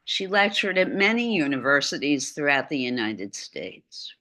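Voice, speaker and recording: A woman's voice: low-pitched, big pitch swings, moderate pace, sharp tone. A middle-aged woman giving an art lecture over a video call from a room at home, with chatty asides.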